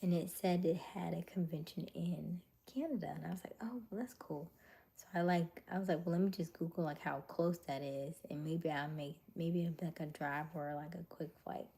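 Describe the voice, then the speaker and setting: A young adult woman hosting a casual livestream, chatting with viewers in a chatty way with frequent laughs and soft, whispery stretches. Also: lowish voice, moderate pace, vocal fry. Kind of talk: casual live chat reading viewer comments.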